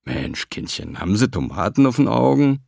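A man voicing a gruff, sarcastic male porter with a Berlin accent, brusque and mocking. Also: on the low side, very animated, normal speed, darkish tone, dry recording, clean studio sound, heavily acted.

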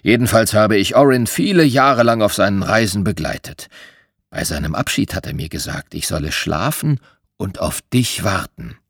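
A man voicing a kindly old bear spirit living in a flying rug, speaking gently and calmly. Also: fairly low pitch, normal speed, gravelly, husky, no room sound, studio quality, exaggerated voice. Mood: gentle. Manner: gentle, chuckling, calm.

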